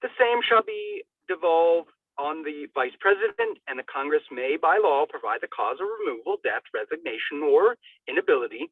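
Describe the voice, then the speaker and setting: A man's voice: on the high side, huge pitch swings, normal speed, no echo. A man giving a theatrical dramatic reading of a formal document in a declamatory manner, now and then stumbling and correcting himself. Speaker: reader. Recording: narrow tinny telephone-like radio sound.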